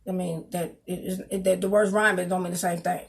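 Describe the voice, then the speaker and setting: A woman's voice: lowish voice, little pitch movement, fairly quick, vocal fry, breathy edge. A woman in her fifties talking to her webcam at home, reading from her resume in a rambling way and spelling out words. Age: 50s. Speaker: vlogger, singer and dancer. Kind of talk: casual talk to camera, reading from her resume. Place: small home room, indoors. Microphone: webcam on a desk.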